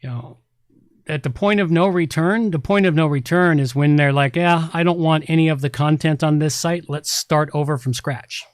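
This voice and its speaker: A man's voice: a little high, normal speed, clean tone, dry recording, studio quality. A man hosting a tech podcast, talking casually and explaining things with emphatic repetition. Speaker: podcast host, website repair specialist.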